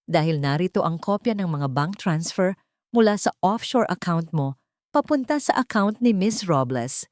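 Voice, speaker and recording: A young male CEO speaks with calm, icy control, each word measured, as a woman voices him. The voice sits low and is recorded dry. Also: no echo, natural voice. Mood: cold. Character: cold, commanding male CEO, young adult.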